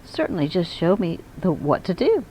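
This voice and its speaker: A woman's voice: fairly low pitch, very animated, normal speed, dark tone, a little hiss or hum, low-quality recording. A woman voicing a shy, lonely man from a children's storybook, with earnest emphasis. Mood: polite, friendly. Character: shy lonely man, brightening with wonder and politeness. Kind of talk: storybook character voice.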